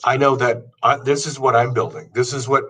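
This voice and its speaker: A man's voice: mid-range voice, steady tone, brisk, pushed and bright, slightly rough, far from the mic. A man in his fifties giving an earnest motivational talk, building his points through long lists. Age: 50s. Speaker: podcast host. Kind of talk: motivational monologue.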